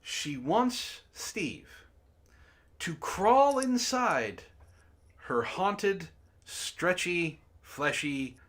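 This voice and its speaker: A man's voice: sits high, big pitch swings, extremely slow, a bit bright. A man hosting a read-aloud, narrating casually and breaking off for sardonic, profane asides. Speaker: book club host reading a story aloud with commentary.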